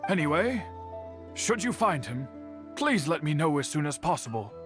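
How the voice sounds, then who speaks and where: Courteous, worried swordsmith, higher-pitched. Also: male voice, sing-song. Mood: earnest, worried. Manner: measured, formal, earnest. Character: courteous, formal older swordsmith uncle, worried and dignified. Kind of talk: video game voice acting. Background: background music.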